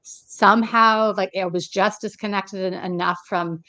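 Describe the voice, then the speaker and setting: A woman's voice: mid-range voice, fairly even intonation, normal speed, pushed and bright, no echo. A woman in her thirties hosting a casual video call interview, chatty and rambling with many fillers and restarts. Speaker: interview host. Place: home room indoors. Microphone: webcam on a video call.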